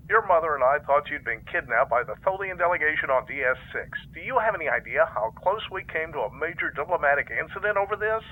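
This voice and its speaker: A man playing a stern middle-aged fleet commodore and father, gruff and commanding, speaking over faint noise. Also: a little high, expressive, fast, hardly any pauses, phone-quality sound. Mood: alarmed.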